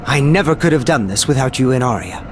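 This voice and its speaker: An actor playing an earnest, idealistic young scientist in an anime game, speaking in a measured, formal way. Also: male voice, medium pitch, expressive, slight background noise, close to an everyday voice. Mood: modest. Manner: measured, formal, soft-spoken resolve. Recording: loud music behind the voice.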